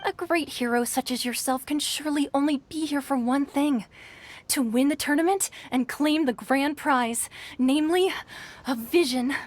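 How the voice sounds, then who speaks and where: A woman's voice: high voice, moderate pace, lightly acted. A woman playing a polite, earnest young tournament registrar in a video game, speaking hesitantly. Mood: polite, businesslike. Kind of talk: video game character acting. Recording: light game music behind.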